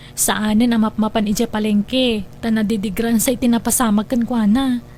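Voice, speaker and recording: A woman's voice: a little high, a little hiss or hum, natural voice. A woman playing an outspoken, emotional young woman with an Ilocano Filipino accent in a radio drama.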